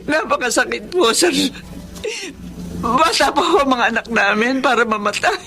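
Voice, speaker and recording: Radio drama, poor devoted mother, emotional and pleading, high sharp voice. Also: expressive, moderate pace, full character voice. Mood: anguished. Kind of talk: Filipino radio drama acting.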